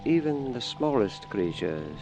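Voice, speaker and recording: A man hosting radio stories, narrating from a script in a deliberate, ominous manner with pauses. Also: mid-range voice, big pitch swings, music in the background. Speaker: host introducing radio stories.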